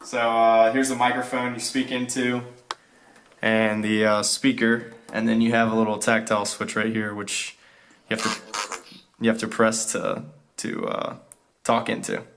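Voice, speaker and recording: A man's voice: fairly low pitch, flat delivery, a little slow, a bit bright, a little hiss or hum. A young man giving an informal walkthrough of a student project, talking in a hesitant, laid-back, off-the-cuff way. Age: young adult. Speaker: student presenter.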